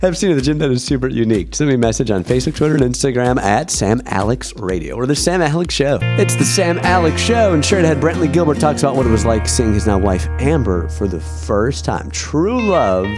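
A man's voice: mid-range voice, brisk, few pauses. A man hosting a country music radio show in an upbeat, promotional style. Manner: energetic, promotional radio patter. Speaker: radio host. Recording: music bed under the voice.

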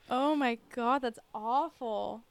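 A woman's voice: a little high, vocal fry, clean tone, no room sound. A woman podcast co-host in casual chat, asking questions and reacting in an informal, exclaiming way.

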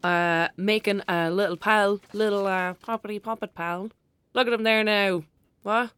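A woman's voice: mid-range voice, fairly even intonation, normal speed, a bit bright, clear, resonant tone, no room sound, natural voice. A woman with an Irish accent playing a bold, playful young girl, a bossy and teasing best friend, in a naturalistic audio drama. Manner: cheeky, coaxing, colloquial banter.

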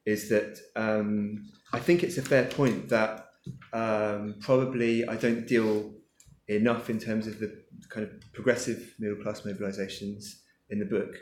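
A man's voice: fairly low pitch, fairly even intonation, a little slow, few pauses, a little mellow, slight background noise. A man, the author at his book launch, answering audience questions hesitantly with many fillers as he thinks aloud. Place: lecture room with an audience. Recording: echoey room.

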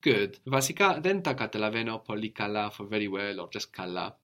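A man's voice: lowish voice, fairly quick, fairly bright tone. A man teaching a one-on-one language lesson, patiently prompting his student and ending points with a questioning "no?".

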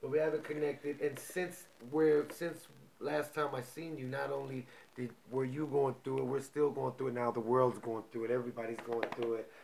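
A man hosting a podcast in loose, profane casual chat, getting loud and animated when excited. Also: mid-range voice, moderate pace, gravelly, a little hiss or hum, rough recording. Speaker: podcast host.

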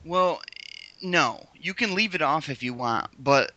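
A man in his thirties, a guest in a casual podcast chat, animated and joking, swearing now and then. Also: on the high side, a little slow, lo-fi sound, slight background noise.

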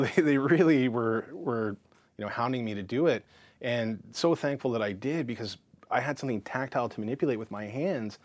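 A man, a counselor talking about his life in a frank, lively way as an interview guest. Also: medium pitch, no room sound. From Canada. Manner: frank and lively, full of fillers and restarts. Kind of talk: casual interview storytelling.

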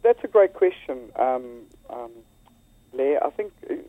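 Brief: South African school head, earnest, stumbling restarts, heard over a thin phone line, dry sound. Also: male voice, medium pitch, lively intonation, a little slow, no echo. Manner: earnest, many restarts and repeated words. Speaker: school leader. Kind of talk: phone-in radio interview.

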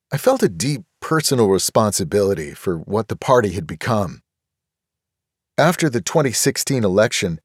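A man narrating a first-person nonfiction audiobook in a measured, earnest, deliberate way. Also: fairly low pitch, a little mellow, no echo, clean studio sound.

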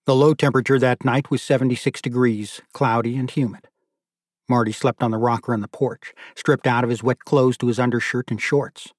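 A man narrates a literary novel in a scripted audiobook style. His voice has a middling pitch. The recording is dry, with no room sound. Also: fairly even intonation, brisk, no room sound.

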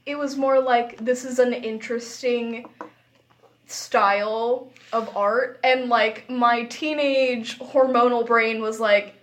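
A woman's voice: on the high side, fairly even intonation, slow, fairly bright tone. A young adult woman in casual podcast chat, giggly and self-deprecating in the way she talks. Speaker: podcast host.

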